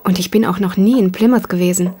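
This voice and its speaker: An actress playing a reserved, polite woman of a country household in a German audio drama, terse and husky. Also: on the low side, fairly even intonation, quick pace, mellow. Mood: guarded, polite.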